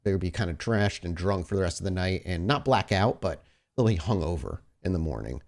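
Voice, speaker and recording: A man with a low voice runs a tabletop roleplaying game. He mixes improvised, descriptive scene-setting with casual table talk, and he addresses his player directly in a conversational way. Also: expressive, brisk, vocal fry.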